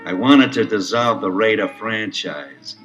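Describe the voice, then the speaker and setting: An actor playing a scheming adult crook, menacing yet reluctant, in a melodramatic audio adventure. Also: male voice, fairly low pitch, measured pace, a bit bright. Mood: confessing. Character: menacing but reluctant scheming adult male crook, sports-team owner. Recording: 1970s LP, slightly distant, faint music.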